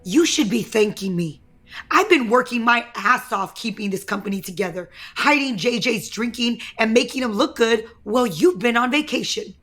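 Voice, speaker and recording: A woman's voice: on the high side, lively intonation, moderate pace, a bit bright, faint music behind. An actress playing a scheming, ambitious young woman in an audio soap opera, with a taunting, self-assured and confrontational manner. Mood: smug, taunting. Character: scheming, brazen ambitious young woman, office power player.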